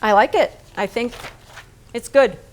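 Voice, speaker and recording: A woman playing a needling, self-lacerating painter in a theatrical staged reading, deliberate and pointed. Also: on the high side, a little hiss or hum, natural voice. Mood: controlled, wry.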